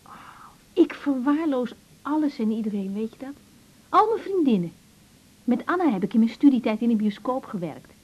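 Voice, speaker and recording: High-voiced caring young doctor. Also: female voice, big pitch swings, normal speed, breathy edge. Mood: confiding. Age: young adult. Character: caring young female doctor, gentle, overworked, self-reproaching. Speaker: general practitioner in a radio drama. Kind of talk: naturalistic 1980s Dutch radio drama acting. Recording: slightly dull 1980s radio recording.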